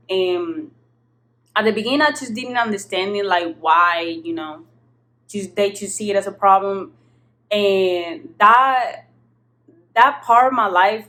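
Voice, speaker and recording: A woman's voice: medium pitch, a bit bright. A young woman from southern Spain, a native Spanish speaker, telling a personal story in frank, slangy, casual English with some swearing, recorded in an apartment. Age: young adult. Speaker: vlogger. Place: apartment kitchen-living room.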